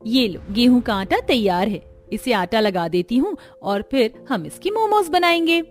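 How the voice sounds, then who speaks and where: A woman playing women of a joint family in a Hindi cartoon story, with expressive, earnest dramatic delivery. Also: on the high side, a little slow, soft background music. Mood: earnest, homely. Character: women of a joint family, dutiful daughters-in-law and mothers-in-law.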